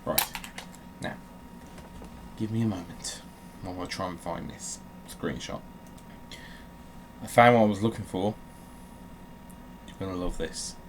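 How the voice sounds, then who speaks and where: A man with a fairly low voice hosts a gaming video in a casual, joking way. He slips between offhand chat and theatrical reading, and there is some noise in the recording. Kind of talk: casual game commentary with dramatic readings.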